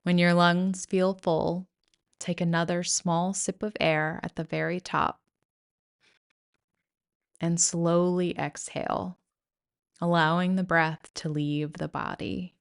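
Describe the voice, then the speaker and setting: Woman leading a guided meditation, real low and even, gentle n soothing, lots of pauses. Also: vocal fry, clean tone. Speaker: yoga nidra guide.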